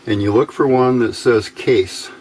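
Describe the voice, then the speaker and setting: A man's voice: medium pitch, measured pace, a little mellow, a little hiss or hum, poor audio. A man giving a casual hands-on demonstration as an electronics hobbyist, talking in a folksy, informal, rambling way.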